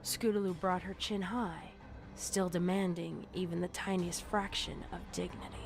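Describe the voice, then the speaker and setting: A woman's voice: lowish voice, fairly even intonation, normal speed, vocal fry. A woman reading scripted horror fiction as a storyteller. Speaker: narrator. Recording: music under the narration. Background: music.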